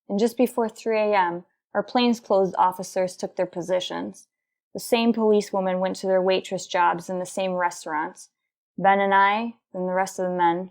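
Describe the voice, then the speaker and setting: A woman's voice: medium pitch, a little mellow, lightly acted. Police sergeant, played by a woman: businesslike, clipped, matter-of-fact narrator for a retro radio cop drama, mid-range voice.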